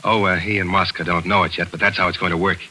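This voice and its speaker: A man's voice: low voice, level tone, fast talker, sharp tone, husky, full character voice. A cool, cynical tough guy. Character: Bill Baldwin, hard-boiled American man, cool and cynical. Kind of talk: 1940s radio acting. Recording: old radio broadcast.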